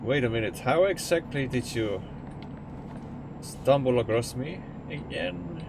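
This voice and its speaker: A young man with an Estonian accent chats with his stream viewers in a rambling, jokey way, at a middling pitch. The steady noise of a driving truck sits clearly behind his voice. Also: a little slow. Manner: rambling and jokey, answering chat. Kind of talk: casual chat with live chat while gaming.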